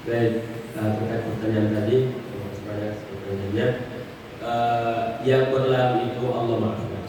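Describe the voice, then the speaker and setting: A man's voice: fairly low pitch, level tone, moderate pace. A man giving a conversational Islamic sermon, explaining patiently and repeating phrases for emphasis. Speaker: Islamic preacher answering questions. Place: mosque hall. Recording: echoey mosque hall, distant mic, noisy.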